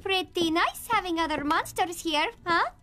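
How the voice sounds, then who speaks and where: A woman playing an upbeat, earnest teenage monster girl in an animated film. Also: extremely high pitch, moderate pace, soft background music, full character voice. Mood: hopeful, earnest. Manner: earnest, eager narration and chatter.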